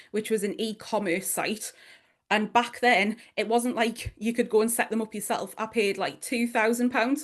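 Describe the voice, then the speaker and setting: A middle-aged woman from northeast England with a strong Geordie accent, giving a chatty workshop talk to an audience, full of asides and fillers. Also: mid-range voice. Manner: chatty, self-deprecating, many fillers and asides.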